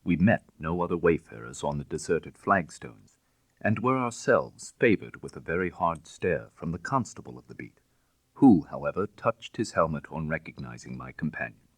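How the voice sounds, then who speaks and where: A man with a deep voice narrates classic fiction in the first person. He reads in a measured, dramatic way with widely varied intonation. The recording is dry and close. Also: sing-song, dry recording. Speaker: audiobook narrator.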